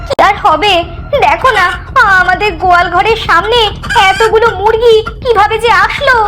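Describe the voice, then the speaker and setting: A woman's voice: very high-pitched, normal speed, music in the background. A woman playing a worried, scolding village mother in a cartoon, with a fretful, nagging manner. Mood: anxious. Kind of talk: cartoon voice acting.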